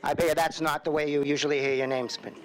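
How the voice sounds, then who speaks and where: A man's voice: on the high side, flat delivery, fast, a little hiss or hum. An older man with a Boston accent, testifying at a hearing in a chatty way with many fillers and restarts. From Boston, USA. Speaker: expert witness. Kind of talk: formal testimony with casual asides. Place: hearing room. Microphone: table microphone.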